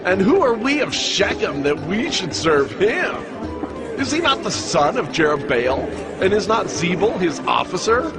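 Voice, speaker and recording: An actor playing a brash, boastful rebel upstart in a dramatized scripture audio drama, with a taunting, declamatory delivery. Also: male voice, high voice, big pitch swings, measured pace, over loud music, a loud crowd, exaggerated voice. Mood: scornful boasting. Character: Gaal, brash male rebel upstart in biblical times.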